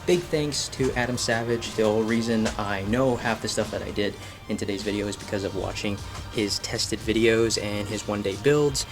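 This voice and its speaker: Music plays clearly behind a man presenting a craft project. His voice sits at an average pitch. He explains casually and informally, like a hobbyist chatting to viewers, with a self-deprecating touch. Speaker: host of a craft and painting video.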